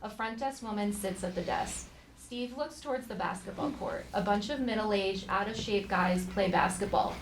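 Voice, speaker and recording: A woman's voice: mid-range voice, moderate pace. Woman narrating stage directions, echoey and noisy. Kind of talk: scripted narration at live table read. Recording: echoey, noisy, distant live stage pickup.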